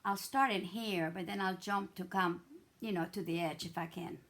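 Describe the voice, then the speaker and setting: A hoarse middle-aged woman, chatting her way through a craft tutorial with many fillers and restarts. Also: on the low side, steady tone, moderate pace, a bit bright. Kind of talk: casual step-by-step craft demonstration.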